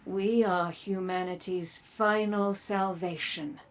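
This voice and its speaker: An actress playing a resolute, battle-worn commander in a game, delivering a dramatic monologue in a solemn, earnest, deliberate way. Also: female voice, medium pitch, fairly even intonation, background noise, natural voice. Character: resolute, battle-worn female commander giving a grave warning.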